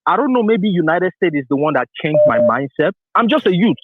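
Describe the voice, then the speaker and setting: A man's voice: sits high, big pitch swings, fairly quick, breathy edge, no echo. A man in his thirties from Nigeria, calling into a talk show and rambling with many restarts. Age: 30s. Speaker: caller. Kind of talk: phone call to a talk show. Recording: tinny phone line, dry.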